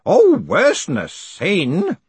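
A man's voice: sits high, wildly swooping pitch, a little slow, fairly bright tone, breathy edge, no echo, pitched above the performer's own voice, exaggerated voice. A man voicing a fussy, deferential old postal worker in an audiobook, earnest and insistent. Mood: indignant. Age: older. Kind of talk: audiobook character acting.

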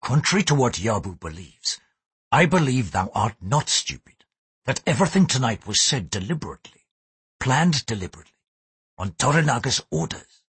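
A man reading aloud as a blunt English sea pilot, speaking in a measured, archaic thee-and-thou manner. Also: medium pitch, big pitch swings, fairly bright tone, slightly rough, dry recording, full character voice. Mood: probing.

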